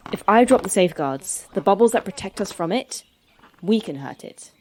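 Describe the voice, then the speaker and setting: A woman's voice: mid-range voice, expressive, moderate pace, a little mellow, a little hiss or hum, close to an everyday voice. A woman playing a sentient computer network with a human past, earnest and dryly wry, in a sci-fi horror audio drama. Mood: grim resolve.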